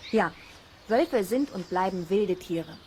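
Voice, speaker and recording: A woman's voice: medium pitch, lively intonation, takes it slowly, darkish tone, a little hiss or hum. Assured female biologist, faint ambience. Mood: matter-of-fact, assured. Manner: plain, assured explaining. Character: matter-of-fact female wolf biologist. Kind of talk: children's audio play dialogue.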